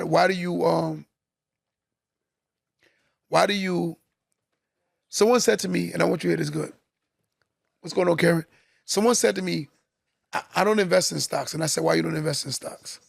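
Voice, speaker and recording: A man's voice: on the high side, creaky, husky, no echo, clean studio sound. A man hosting a live podcast, preaching to listeners and repeating key lines for emphasis. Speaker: podcast host. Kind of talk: motivational live-stream talk.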